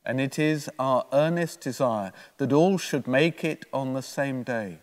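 Older priest in a reverberant church. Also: male voice, medium pitch, unhurried. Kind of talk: homily, read from prepared notes. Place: church, at a lectern. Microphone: gooseneck lectern microphone.

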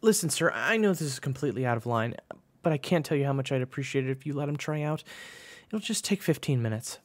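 A man's voice: medium pitch, very animated, moderate pace, no echo, pitched above the performer's own voice, lightly acted. A man voicing a teenage girl in a storybook, a loyal friend who is earnest, sassy and teasing. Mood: pleading.

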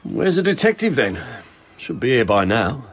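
An actor playing a chatty, deferential police sergeant in a radio drama. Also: male voice, mid-range voice, very animated, moderate pace. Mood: deferential, brisk.

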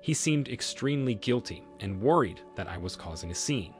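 A man reading reader-submitted stories aloud in a steady scripted narration, then giving his own reaction. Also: mid-range voice, moderate pace. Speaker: story narrator. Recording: voice-over with soft music bed.

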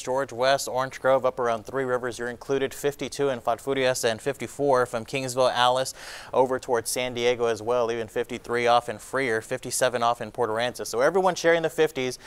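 An American man in his thirties presents the weather on television. He talks quickly in a smooth, clean voice at an ordinary male pitch, explaining conditions off the cuff in a studio. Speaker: TV weather forecaster. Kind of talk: ad-libbed broadcast weather forecast. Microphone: lapel mic.

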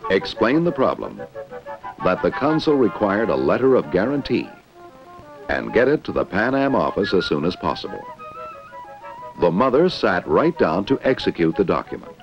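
A dull, dated film soundtrack with music carries a low-voiced American man. He narrates a 1960s corporate training film like a polished announcer. His measured script reading moves through lively rises and falls.

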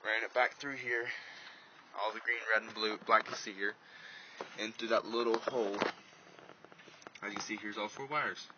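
Low-voiced man, muffled noisy recording. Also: fairly even intonation, moderate pace, long pauses. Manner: plain-spoken, informal. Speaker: vlogger demonstrating an install. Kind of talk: casual hands-on walkthrough. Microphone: handheld phone or small camera. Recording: muffled, noisy handheld camera audio.

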